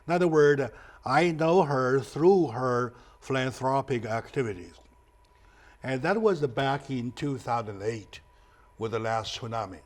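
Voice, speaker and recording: A man hosting a talk show interview, speaking with halting, hesitant phrasing. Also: mid-range voice.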